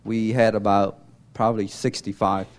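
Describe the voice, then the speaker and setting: A young man from Cambodia giving an informal, halting church testimony as a mission volunteer, often searching for words. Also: fairly low pitch, level tone.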